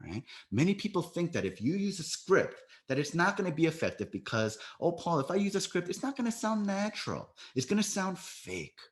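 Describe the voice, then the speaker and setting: An American man leads a sales training session over a video call. His pitch rises and falls a lot as he coaches, and he keeps checking in with his listeners with a quick "right?". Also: very animated. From United States. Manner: coaching, often tags "right?".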